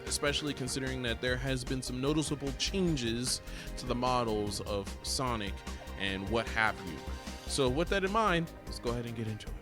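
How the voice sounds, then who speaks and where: A man giving casual reaction commentary in a musing, halting, rambling way, as if thinking out loud. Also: medium pitch. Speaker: reaction video host. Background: loud video game cutscene music and sound.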